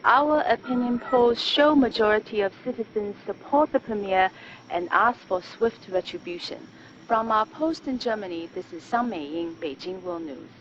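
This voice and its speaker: A woman playing a composed, patriotic state-television anchor, reading a news report in a formal, measured, official manner. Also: medium pitch, expressive, lo-fi sound, slight background noise, close to an everyday voice. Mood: formal, assured. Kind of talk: scripted TV news report acting.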